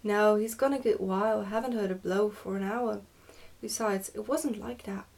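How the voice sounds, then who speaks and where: A woman's voice: mid-range voice, steady tone, moderate pace, gravelly, a little mellow, natural voice. A woman voicing a stern, pompous goblin father in an ASMR storybook reading, scolding and commanding. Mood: dismissive.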